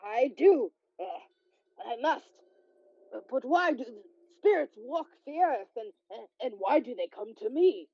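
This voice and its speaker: A woman playing a grumpy, skeptical old male miser in a radio play, with a halting, curmudgeonly delivery. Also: fairly high pitch, lively intonation, highs cut off. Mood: frightened, pleading. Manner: slow, halting, curmudgeonly, with hesitations.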